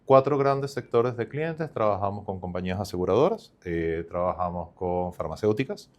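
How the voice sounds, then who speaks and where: Lower-pitched man explaining with fillers. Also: animated, normal speed. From not from Chile. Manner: upbeat, explains at length with many fillers. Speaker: startup founder guest. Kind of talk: podcast interview.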